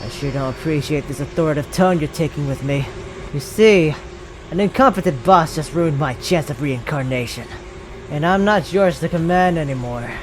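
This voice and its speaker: A woman playing a cocky, commanding retired judge of the underworld in an animated drama, switching between solemn and brashly sarcastic. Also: deep voice, noisy background, lightly acted. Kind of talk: dramatic animated-story voice acting. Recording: music behind the voice.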